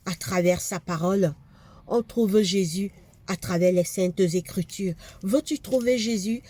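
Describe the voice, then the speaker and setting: A woman's voice: medium pitch, a little slow, warm tone, husky, dry recording. A woman with a cold preaching a fervent sermon, repeating phrases for emphasis. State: has a cold, congested.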